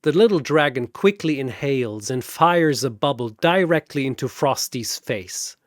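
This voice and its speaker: A man narrating a scripted comic fantasy battle in a theatrical, deadpan manner. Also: medium pitch, normal speed, dry recording, studio quality.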